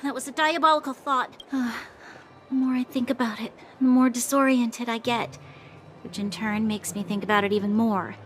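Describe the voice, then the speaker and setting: An actress playing an earnest, dutiful young female officer in a video game, speaking in a measured, formal, reflective way. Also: a little high, steady tone, slight background noise, lightly acted. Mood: troubled, hesitant. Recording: faint music underneath.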